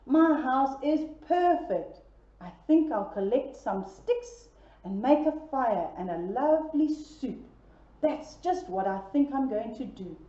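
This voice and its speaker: A woman voicing a calm, confident little male pig with a firm, cheery manner, in an echoey room with dull lo-fi sound. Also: sits high, takes it slowly. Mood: pleased.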